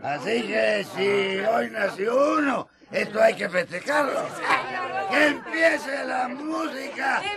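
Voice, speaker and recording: A festive, rowdy reveller in a radio play. His very high voice stretches out its words among a noisy crowd. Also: lively intonation, slow pace, full character voice. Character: rowdy male reveller eager to celebrate. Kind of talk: radio drama acting.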